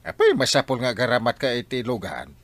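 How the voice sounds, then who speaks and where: Animated, teasing village man, acted by a man. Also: mid-range voice, fairly quick, no echo. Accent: Ilocano. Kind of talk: Ilocano comedy drama acting.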